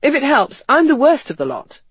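A man voicing a wry, emphatic upper-class English gentleman in an audiobook of a period novel. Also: very high voice, wildly swooping pitch, a little fast, no echo, pitched above the performer's own voice, exaggerated voice. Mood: wry, earnest. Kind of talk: audiobook character dialogue.

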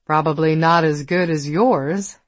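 A woman voicing a cool, guarded, wry New York woman in an audiobook, with a dry and measured delivery. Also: low-pitched, no echo. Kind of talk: audiobook character dialogue.

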